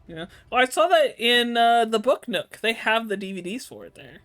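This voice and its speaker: A man's voice: very high voice, lively intonation, normal speed, bright, forceful tone. A man co-commentating on gameplay in casual chat, with wry, profane banter.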